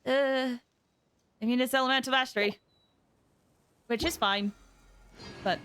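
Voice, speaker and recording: High, bubbly woman livestreaming a game. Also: a little slow, a little hiss or hum. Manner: bubbly, expressive, thinks aloud. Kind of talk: casual gameplay chat with viewers. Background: game music.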